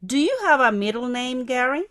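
Friendly commuter, read by a woman, clear textbook delivery. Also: high voice, expressive, brisk, fairly bright tone. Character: helpful young working woman, twenty-seven.

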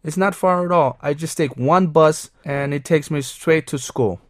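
A man's voice: fairly high pitch, normal speed, no room sound, studio quality, close to an everyday voice. A man playing a friendly seventeen-year-old schoolboy in a classroom role-play.

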